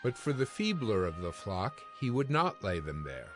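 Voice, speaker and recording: A man narrating scripture from a script in a measured, solemn storytelling manner. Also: fairly low pitch, sing-song, a bit bright. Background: music.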